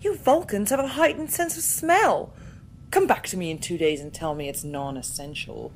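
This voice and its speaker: A woman's voice: normal speed, lightly acted. A woman playing a blunt, sarcastic starship doctor in a science fiction audio drama, talking in a dry, casual way. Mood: sarcastic, irritated. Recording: background noise with low rumble.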